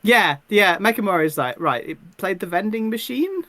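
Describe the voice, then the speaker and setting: Podcast panelist, chatty and animated. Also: mid-range voice, normal speed, a crowd in the background. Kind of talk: casual chat.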